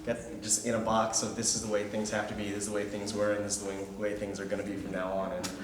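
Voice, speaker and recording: A teenage boy, a high school actor, chatting quickly in an interview and leaning on "like". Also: monotone. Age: teens. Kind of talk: casual interview answers. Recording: echoey room with noise.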